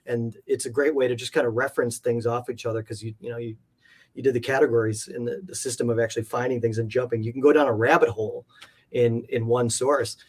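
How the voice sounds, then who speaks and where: A man's voice: medium pitch, fairly even intonation, fast, darkish tone, slightly rough. A man speaking as an interview guest, chatting casually in a rambling, reflective and enthusiastic way. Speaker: interview guest, art collector. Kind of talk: casual chat.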